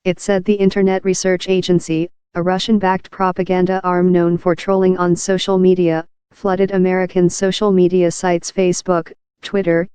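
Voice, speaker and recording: A woman reading a scripted news article aloud as a narrator, in a formal, measured and impersonal way. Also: fairly low pitch, warm tone, smooth, dry recording.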